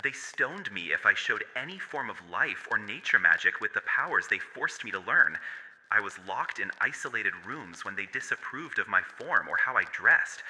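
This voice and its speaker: A man's voice: lowish voice, pushed and bright, full character voice. A man voicing a traumatised furry cult survivor in an audio horror drama, speaking in a solemn, confessional way. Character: traumatised male furry cult survivor with nature powers, haunted. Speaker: voice actor. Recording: long hall-like reverb.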